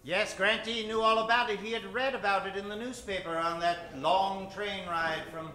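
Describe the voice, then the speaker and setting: A man's voice: sits high, fairly even intonation, moderate pace, sharp tone, a little hiss or hum. A man narrating an old-time radio comedy in a mock-dramatic style. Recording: roomy stage recording with music.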